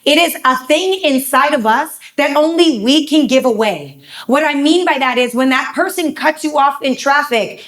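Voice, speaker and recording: A woman from Canada with a raspy, hoarse voice, speaking as a lively, bubbly panelist in a live panel talk. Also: high voice, normal speed.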